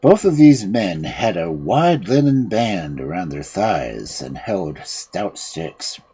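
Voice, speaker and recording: A storyteller and stream host reading a fairy tale aloud in a theatrical, expressive manner. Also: deep voice, slow pace, slightly rough.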